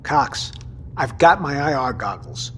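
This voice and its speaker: Military commander, gruff, impatient, sarcastic, lively swooping intonation, slight background noise, audio drama. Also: male voice, medium pitch, measured pace. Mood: irritated. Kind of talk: naturalistic audio drama acting.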